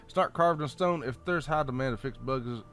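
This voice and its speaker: A man with a Southern American accent reads update notes aloud in a folksy, halting way, adding off-the-cuff comments. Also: mid-range voice, fairly bright tone, faint music behind. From Southern United States. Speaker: gaming channel host.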